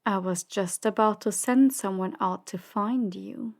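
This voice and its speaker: A woman playing a cold, ruthless crime boss in an audio drama, commanding and dismissive in a measured way. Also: medium pitch. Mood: cold, controlled. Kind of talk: audio drama roleplay acting.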